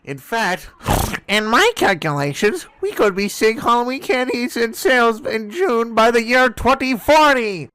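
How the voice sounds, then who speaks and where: A man's voice: very high voice, sing-song, measured pace, sharp tone, slight background noise, full character voice. A man voicing a young male fox sidekick with a nonchalant, know-it-all delivery in an amateur story read. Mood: breezy, explaining. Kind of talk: amateur fan-fiction table read.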